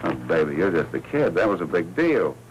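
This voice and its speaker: An American actor playing a jealous, suspicious small-time crook in a 1940s film noir. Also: male voice, sits low, sing-song, brisk, dull audio, slight background noise. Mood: guarded. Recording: 1940s film soundtrack, music behind.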